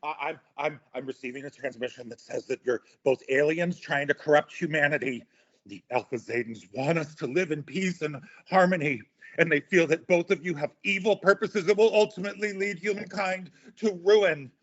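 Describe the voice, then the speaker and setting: A man's voice: a little high, normal speed, a bit bright, lo-fi sound. A man playing a frantic, pleading character in an audio play who stammers and claims to receive alien messages. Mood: urgent, earnest.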